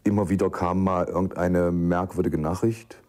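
A man's voice: deep voice, steady tone, moderate pace, darkish tone. A man casually recalling his own experiences as an eyewitness. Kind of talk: casual personal recollection.

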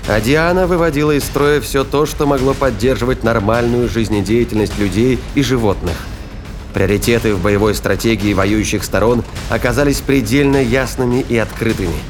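Male sci-fi narrator over soft music. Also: medium pitch, normal speed. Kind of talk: scripted dramatic narration of science fiction.

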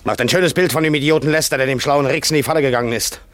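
Actor as a fast-talking, cold-blooded hitman. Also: medium pitch, a bit bright, natural voice. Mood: bitter sneering. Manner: curt, businesslike, menacing. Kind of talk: German crime radio play acting.

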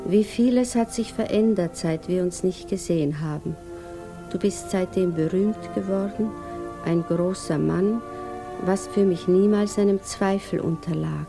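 Proper, nervous widow, music behind. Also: female voice, fairly low pitch, measured pace, vocal fry. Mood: hesitant, composing. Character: proper, nervous widowed mother, by turns tender and indignant. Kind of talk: German radio drama acting. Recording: studio radio play with music behind.

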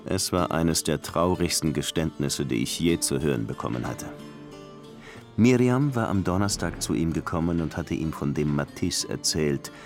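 Deep-voiced somber detective narrator. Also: male voice, a little mellow. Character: male police detective recounting a murder case, somber. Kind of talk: first-person crime radio drama narration. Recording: music under the voice.

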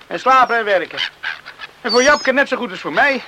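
A man's voice: very high-pitched, lively intonation, fairly quick, noisy background. A man acting in a Dutch radio play as an earnest, tender, hardworking young farm man in love. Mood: plain, curious. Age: young adult.

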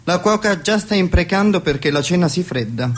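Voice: medium pitch, animated, noisy background, natural voice. A performer playing a dutiful male butler in a 1920s radio comedy, formal and deferential in manner. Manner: formal, deferential, measured announcements. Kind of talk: radio play acting of a 1920s stage comedy.

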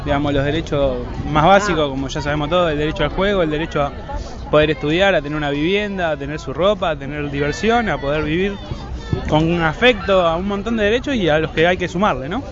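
High-pitched Argentine man, dull street recording. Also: fast, a crowd in the background. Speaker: street interviewee. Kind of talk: spontaneous interview answers. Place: outdoors in a city street.

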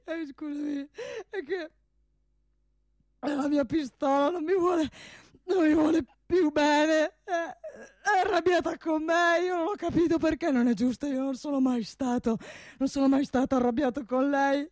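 A woman playing a desperate, unstable man in a theatre monologue, pleading in a halting, repetitive way. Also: high-pitched, steady tone, a little mellow, no room sound, studio quality. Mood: tearful pleading. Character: desperate, pleading man who prays and begs, unstable and tearful.

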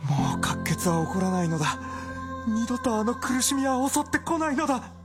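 Dreamy sick inventor doctor in a radio play, exclaims a lot, highish lively voice, music behind. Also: male voice, normal speed, exaggerated voice. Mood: triumphant relief. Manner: exclamatory, theatrical, rapt. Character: ailing doctor inventor, a man, fervent and dreamy. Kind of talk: Japanese radio drama acting.

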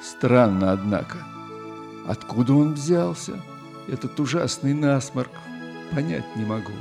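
An actor playing a kindly old newspaper editor in a children's audio play, speaking with gentle, deliberate, old-fashioned courtesy. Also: male voice, mid-range voice, expressive, vocal fry, over music. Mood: puzzled, fussy.

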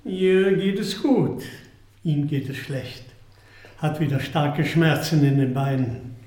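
An actor playing a glum, resigned manservant with a terse, matter-of-fact way of talking in a radio play. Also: male voice, on the high side, slow, darkish tone. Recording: very echoey interior, faint noise.

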